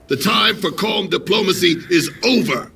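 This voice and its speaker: A man's voice: high-pitched, lively intonation, normal speed, vocal fry, bright, forceful tone, faint music behind, full character voice. A man playing a stern, proud, unbending military commander in an audio drama, formal and resolute. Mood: stern, defiant. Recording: distant from mic, faint noise.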